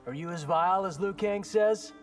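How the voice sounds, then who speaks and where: An actor playing a defiant, skeptical young warrior in a video game, with a blunt, casual, challenging delivery. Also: male voice, high-pitched, moderate pace, close to an everyday voice. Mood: sardonic. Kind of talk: video game character dialogue. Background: game music.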